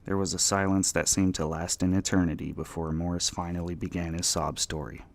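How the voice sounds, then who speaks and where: A man's voice: sits low, level tone, brisk, creaky. A man narrating fiction as a dramatic scripted audiobook reading.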